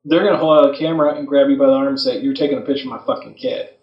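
A man's voice: medium pitch, rapid-fire, dull audio. A man casually bantering as a podcast co-host, swearing freely and telling crude, joking stories. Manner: crude, joking, animated storytelling with acted quotes. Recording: roomy, echoing sound, off the mic.

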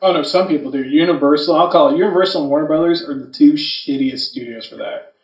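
A man's voice: a little high, quick pace, dull audio. A man co-hosting a podcast, talking casually and bluntly in slangy, unscripted language. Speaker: podcast co-host. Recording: roomy, distant from the microphone.

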